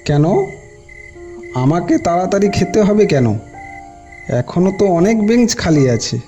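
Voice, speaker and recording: A man tells a horror story in the first person, dramatising it as he goes. His voice sits a little high but has a very mellow, rounded tone. Music plays clearly behind him. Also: expressive, unhurried. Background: background music.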